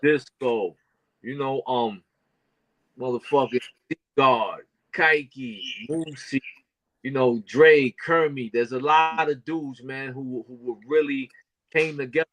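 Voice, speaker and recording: A man in his fifties from Brooklyn telling stories as a podcast guest, animated and full of street slang. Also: mid-range voice, takes it slowly, dry recording, muffled recording. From Brooklyn, New York. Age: 50s. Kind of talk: casual storytelling.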